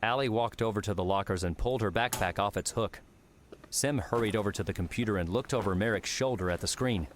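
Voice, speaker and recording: A man's voice: fairly low pitch, fairly quick, slight background noise. A man narrating an audiobook, reading a scripted adventure story in a dramatic storytelling style.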